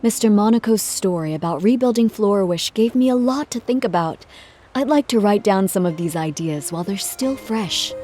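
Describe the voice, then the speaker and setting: Woman as dignified game character, mellow timbre, measured, courteous, music behind. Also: medium pitch, lively intonation, close to an everyday voice. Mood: gracious. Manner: measured, gracious, formal. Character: courteous, reflective travelling performer, a dignified woman. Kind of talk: video game voice acting. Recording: music under the voice.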